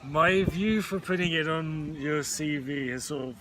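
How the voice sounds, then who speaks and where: Slow, rambling man of thirty. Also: on the high side, noisy background. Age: 30s. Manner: musing, rambling. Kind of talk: casual chat.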